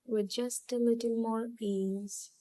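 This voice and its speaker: A woman guides a relaxation exercise in a very slow, soothing voice. Her tone is soft-edged and mellow, with little melodic movement. She speaks in short hypnotic phrases and leaves long, calm pauses between them. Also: a little high, no room sound. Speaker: hypnotherapy channel host and guide. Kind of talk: guided visualisation, gentle hypnotic storytelling.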